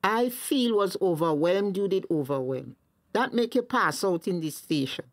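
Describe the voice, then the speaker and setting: A woman's voice: lowish voice, animated, normal speed, no echo, clean studio sound. An actress with a Tobagonian accent playing an anxious, fussing middle-aged mother in a radio drama.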